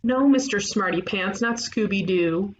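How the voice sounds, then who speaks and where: A woman voicing a cocky, excitable young amateur detective in a cartoon comedy, with an emphatic know-it-all manner. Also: mid-range voice, measured pace, natural voice. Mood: smug, cheerful. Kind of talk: animated comedy table read. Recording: muffled, clearly noisy home recording.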